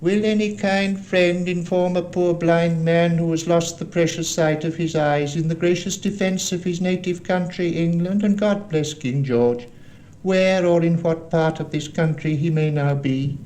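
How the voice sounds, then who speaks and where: A man's voice: a little high, steady tone, a little slow, darkish tone, voice pushed up, natural voice, rough recording. A man voicing a cruel, menacing blind old beggar from a storybook, with a sing-song, sneering manner. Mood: wheedling sing-song. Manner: sing-song, wheedling, turning to cold sneering commands. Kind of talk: storybook character voice.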